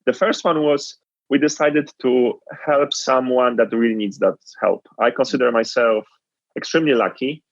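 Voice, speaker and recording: A man's voice: mid-range voice, moderate pace, no echo, dull audio. A man from Poland with a Polish accent, telling his story as an interview guest in an earnest, reflective way. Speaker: guest entrepreneur.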